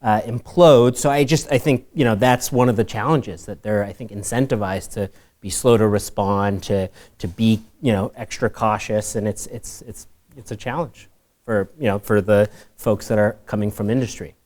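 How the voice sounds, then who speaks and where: A man from academia speaking on a panel discussion, relaxed and hedging. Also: lowish voice, moderate pace.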